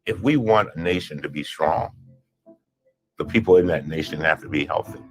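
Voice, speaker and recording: A man with an extremely deep voice speaks with fervent conviction. His delivery rises like a sermon, with faint music underneath. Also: normal speed. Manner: impassioned, preacher-like conviction. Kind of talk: documentary interview clip.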